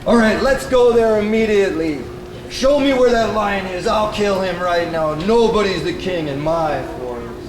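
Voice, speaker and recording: A man voicing a boastful, hot-tempered lion king with blustering, drawn-out lines in a children's puppet show. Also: high voice, a crowd in the background, pitched above the performer's own voice, lightly acted. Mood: threatening. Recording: echoey, noisy bookstore, distant mic.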